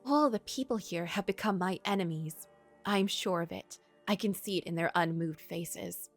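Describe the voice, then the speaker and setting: A woman's voice: on the low side, moderate pace, soft background music. A woman voicing a jealous, scheming young princess in a visual novel, with intense, dramatic declarations. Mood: scornful, determined.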